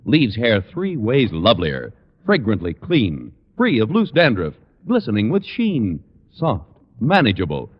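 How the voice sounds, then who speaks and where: A man's voice: on the low side, huge pitch swings, a little slow, husky. An American man reading sponsor announcements as a 1940s radio announcer. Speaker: commercial announcer. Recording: muffled, reverberant vintage radio recording.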